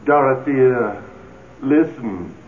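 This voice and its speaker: An actor playing the ghost of a two-timing man, a pleading, smooth-talking seducer, in 1970s radio drama style. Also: mid-range voice, drawn-out words. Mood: urgent pleading. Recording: muffled, noisy 1970s radio recording.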